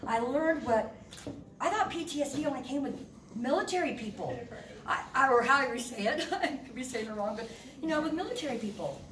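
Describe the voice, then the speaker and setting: A woman in her fifties giving an animated, candid and emotional personal testimony as a guest speaker. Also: high-pitched, fairly quick. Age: 50s. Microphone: room mic at a distance. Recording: echoey hall from far off, noisy.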